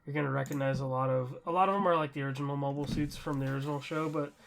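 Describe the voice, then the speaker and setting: A man's voice: medium pitch, steady tone, very fast, vocal fry, fairly bright tone, a little hiss or hum. A man co-hosting a podcast, chatting casually and informally about games with enthusiasm. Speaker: podcast co-host. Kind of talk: casual gaming chat.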